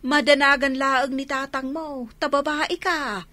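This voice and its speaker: A woman's voice: sits high, fairly even intonation, a little slow, sharp tone, slight background noise. An actress playing a bossy, nagging mother in a radio drama, admonishing as she talks. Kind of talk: Filipino radio drama acting.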